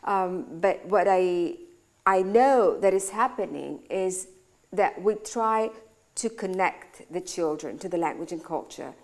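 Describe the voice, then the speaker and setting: A woman's voice: on the low side, slow pace. A woman from Greece, speaking English with a Greek accent, who runs a school and answers interview questions in a searching way, restarting her sentences often. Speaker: school director. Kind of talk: conversational talk interview.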